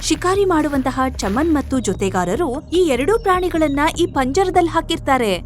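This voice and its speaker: A woman narrating an animal story in an animated storytelling style. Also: high voice, moderate pace, faint music behind.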